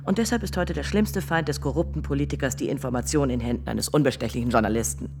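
A man's voice: mid-range voice, fast talker, a little mellow, close to an everyday voice. A man playing a cynical, sardonic conspirator coolly laying out a plot in a radio drama. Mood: sardonic, scheming. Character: cynical, scheming conspirator plotting press leaks.

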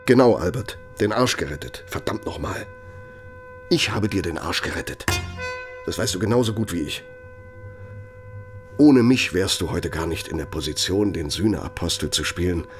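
A man's voice: deep voice, lively intonation, music in the background. An actor playing a bitter, sardonic older former right-hand man in a radio play, speaking with needling, threatening emphasis. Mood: angry, accusing. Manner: sarcastic, needling, emphatic, drawn-out phrasing for menace. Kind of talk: radio play dramatic monologue.

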